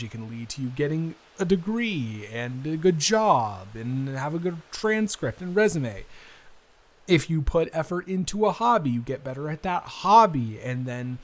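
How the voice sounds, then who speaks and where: A man playing a responsible, wry eldest son who rambles hesitantly in an improvised intimate audio roleplay. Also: on the high side.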